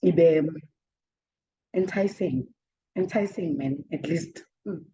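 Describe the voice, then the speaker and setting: Brief: woman, 40s, South African accent, low mellow voice, chatty interviewee, slightly dull recording. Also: slow pace. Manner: chatty, laughs often, mixes in Zulu words. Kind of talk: casual interview answers. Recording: slightly dull, faint background noise.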